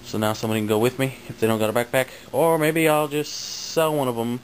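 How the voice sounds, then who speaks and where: A man's voice: medium pitch, steady tone, fast talker. A man chatting casually in a rambling, slangy show-and-tell, recorded on a noisy amateur home video. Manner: slangy, laid-back phrasing. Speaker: vlogger showing his finds.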